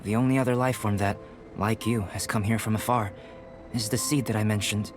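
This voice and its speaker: Man as a calm, scholarly game alchemist. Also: lowish voice, close to an everyday voice. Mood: earnest, musing. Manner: measured, formal, thoughtful. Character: calm, scholarly young male alchemist, polite and curious. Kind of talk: English video game character dialogue. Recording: music behind the voice.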